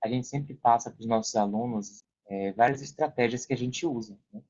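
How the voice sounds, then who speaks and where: A slightly muffled podcast recording of a young Brazilian man with a medium-pitched voice. He explains his work casually, in the manner of a guest, and keeps adding little tag questions. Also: normal speed, a little mellow. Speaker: podcast guest, online affiliate marketer working in support. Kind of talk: casual interview talk.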